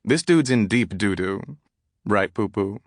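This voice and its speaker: A man's voice: on the low side, animated, unhurried, no room sound, studio quality. A man voicing a flamboyant, gossipy Southern man with a drawl in an audiobook. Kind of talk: audiobook character voice.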